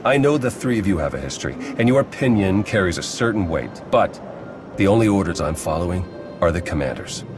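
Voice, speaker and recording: Determined agent in a video game, deep voice, plain talk, wont back down. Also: male voice, lively intonation, a little fast, over music. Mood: defiant. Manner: plain-spoken, defiant. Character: stubborn, casual male agent, determined and loyal. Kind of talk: video game cutscene acting.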